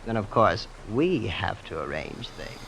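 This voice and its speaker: An actor playing a breezy, immensely rich young heir who is casually ruthless, boasting in a nonchalant, understated way in an old-time radio drama. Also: male voice, fairly low pitch, very animated, unhurried, a bit bright, heavily acted, rough recording. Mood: smugly casual. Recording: old radio broadcast with clear noise.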